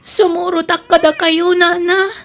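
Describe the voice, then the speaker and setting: A woman's voice: extremely high pitch, little pitch movement, a little slow, music in the background. A woman playing a hurt but grateful young daughter in a Filipino audio drama, with a plaintive delivery.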